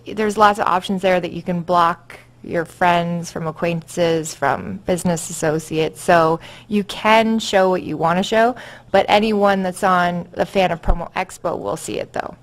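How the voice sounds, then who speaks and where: A woman presenting a conference seminar and fielding questions, chatty with many fillers and easy laughs. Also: fairly low pitch. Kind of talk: conference session talk.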